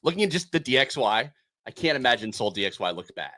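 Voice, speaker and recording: A man's voice: mid-range voice, big pitch swings, fast, sharp tone. A man hosting a casual crypto podcast, laid-back and hesitant, with frequent ums and uhs as he talks. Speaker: podcast host.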